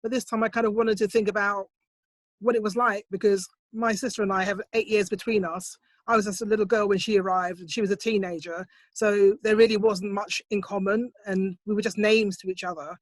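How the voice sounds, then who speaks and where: A middle-aged woman speaks over a video call in a measured, thoughtful way, often pausing to gather her words. Her laptop mic sounds dry, with no room around her. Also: medium pitch, fairly even intonation, no echo. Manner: thoughtful, measured, with soft hesitations. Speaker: poet, competition winner. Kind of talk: online interview, reflective answers. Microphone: computer mic on a video call, set quiet.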